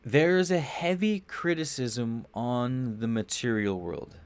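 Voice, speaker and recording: A young man hosting a livestream, rambling casually about spiritual ideas, restarting phrases, swearing loosely and getting animated on big points. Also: mid-range voice, slow pace, clean tone. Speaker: podcast host.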